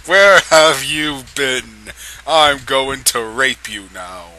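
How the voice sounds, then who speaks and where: A man voicing a huge, fat, menacing villainous uncle in a loud, drawn-out, threatening way. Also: mid-range voice, expressive, exaggerated voice, poor audio.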